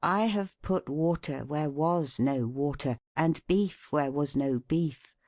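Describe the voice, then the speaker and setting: An audiobook narrator reading scripted prose in a measured, formal and deliberate way. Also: medium pitch, a little mellow, no echo, clean studio sound.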